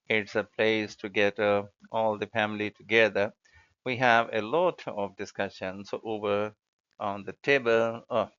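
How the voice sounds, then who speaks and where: A man with an Indian accent giving hesitant, halting answers as a candidate in a spoken exam, on a dull recording. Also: on the low side, fairly bright tone, clean tone. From Mumbai, India. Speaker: speaking test candidate. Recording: dull lo-fi audio.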